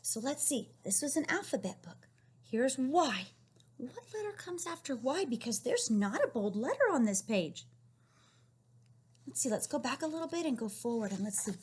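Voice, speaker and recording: A woman reading a picture book aloud to young children, encouraging and questioning them in an animated way with a husky, breathy voice. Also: sits high, normal speed. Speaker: read-aloud storyteller teaching young children.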